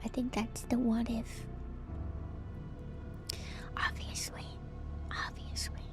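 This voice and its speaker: A woman streamer whispering softly and breathily close to the mic in an ASMR style, gently repeating words as she reads and comments. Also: fairly high pitch, flat delivery, slow, over music. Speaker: VTuber streamer.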